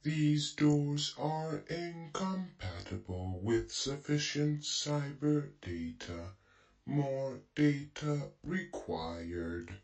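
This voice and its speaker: A man's voice: fairly high pitch, animated, very slow, exaggerated voice. A man playing a naive, computerized cyber humanoid in an audio drama, speaking in stilted, literal, robotic phrases. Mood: puzzled, literal. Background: loud dramatic music.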